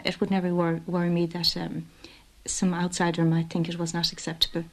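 A woman in an archive radio interview speaks in a low, level voice, with barely any rise or fall. She talks hesitantly, stopping to restart her sentences and filling gaps with small verbal fillers. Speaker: mother interviewed about raising bilingual children.

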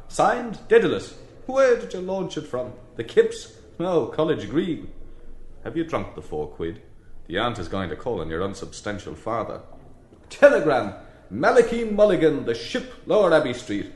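A man's voice: mid-range voice, very animated, moderate pace, natural voice. An actor playing an exuberant, mocking young man who declaims in mock-grand style. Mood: gleeful. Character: mocking, boisterous young man, theatrical jester. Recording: roomy echo.

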